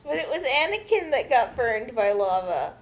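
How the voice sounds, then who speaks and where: A woman's voice: a little high, big pitch swings, moderate pace, slight background noise. A woman chatting casually as a commentator, with a questioning, incredulous manner. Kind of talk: casual chat.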